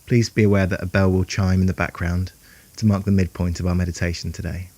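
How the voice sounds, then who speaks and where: A man guiding a meditation in a calm, soothing, unhurried way, leaving long pauses between phrases. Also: low-pitched. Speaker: meditation guide. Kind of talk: guided meditation narration.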